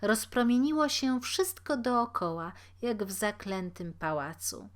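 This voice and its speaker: Woman, storybook narrator, slow pace, medium pitch, deliberate pauses, expressive reading. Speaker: storyteller reading a fairy tale aloud.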